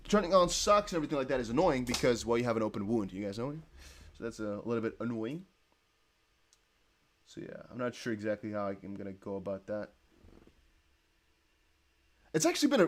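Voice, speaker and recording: A young man whose first language is Dutch, rambling casually on a livestream and reading viewer comments aloud. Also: mid-range voice. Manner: rambling, self-correcting, chatty. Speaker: crypto livestream host.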